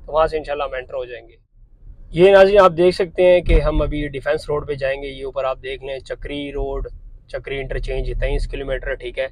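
A man's voice: a little high, a little hiss or hum, low-quality recording. A man in his thirties from Pakistan, talking viewers through a property site while driving, in a chatty salesman's tone with a lapel mic inside a car. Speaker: property dealer vlogger. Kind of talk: driving tour commentary.

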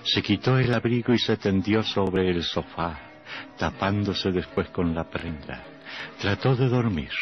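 An actor playing a sinister storyteller, narrating radio horror with theatrical, morbid relish. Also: male voice, deep voice. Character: sinister male horror storyteller, gleefully macabre doctor. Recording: dull old radio recording with music.

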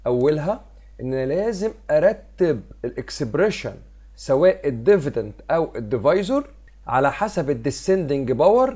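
A man with an Egyptian Arabic accent, a little hoarse from a cold, talks quickly through a math lesson. His voice is mellow and dark, and he explains each step while mixing English math terms into his Arabic. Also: fairly high pitch. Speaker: math teacher. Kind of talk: screen-recorded math lesson. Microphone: voice-over on a screen recording.

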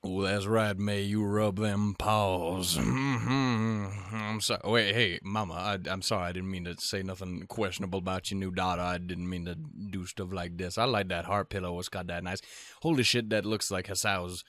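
A man from Montana giving rambling, profane, jokey reaction commentary on an anime episode, with sudden goofy pitch jumps. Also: fairly even intonation, moderate pace, a bit bright, dry recording.

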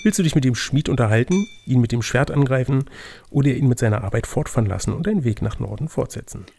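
A man with a raspy, slightly creaky voice acts as game master for an adventure gamebook. His pitch is of middle height, and he moves between reading aloud and casual banter. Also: animated, fast talker, mellow.

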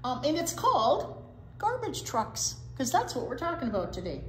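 An older woman talks and reads to small children with a very animated pitch range. Her delivery is simple and emphatic, in a roomy, echoing space. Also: moderate pace. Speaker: teacher, children's storytime co-host. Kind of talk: children's storytime chat and read-aloud. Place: indoor library storytime set, seated. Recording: roomy echo, faint background noise.

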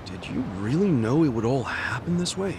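Earnest young ex-gangster, lively lilt, lowish voice, game cutscene, street hum behind. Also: male voice, moderate pace. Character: young male ex-yakuza, eager and loyal. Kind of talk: video game cutscene dub.

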